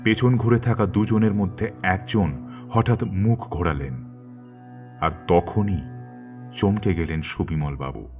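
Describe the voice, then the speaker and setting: A man with a very deep voice tells the story in a measured, dramatic way. Clear music plays behind the narration. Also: a little mellow.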